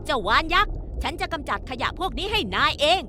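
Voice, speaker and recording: A cheerful, upbeat robot bus hero in a dubbed kids' cartoon. The voice sits high and bounces through a lively melody. Loud cartoon music plays behind. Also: female voice, fast talker. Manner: upbeat, says a cute "pun pun" catchphrase. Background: cartoon background music.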